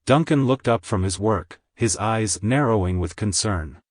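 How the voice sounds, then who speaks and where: A man's voice: on the low side, animated, measured pace, no echo, clean studio sound. A man reading scripted story narration as a storyteller.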